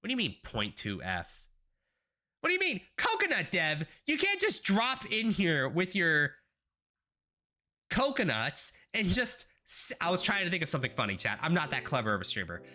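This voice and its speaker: A man's voice: on the high side, wildly swooping pitch, normal speed, sharp tone. A man live-streaming while he codes, chatting casually and rambling with wry asides to his chat, his voice stuffy and nasal from congestion. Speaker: livestreamer learning graphics programming.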